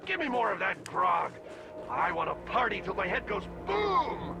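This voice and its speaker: A man voicing a rowdy, eager, party-hungry ghoul raider in a video game, with a gravelly voice. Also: high voice, wildly swooping pitch, moderate pace, full character voice. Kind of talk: video game character acting. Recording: music behind the voice. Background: game music.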